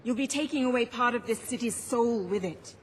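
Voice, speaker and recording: A woman's voice: a little high, fairly quick, close to an everyday voice. An actress playing an earnest, defiant activist pleading her case at court in a video game. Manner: earnest, impassioned, formal.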